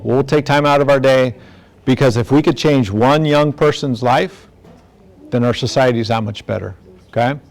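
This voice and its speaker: Plainspoken middle-aged man, presenting informally. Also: mid-range voice. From National City, San Diego area, United States. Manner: plainspoken, direct, acts out imagined dialogue. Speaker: law enforcement community speaker on gang prevention. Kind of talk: informal community presentation to parents.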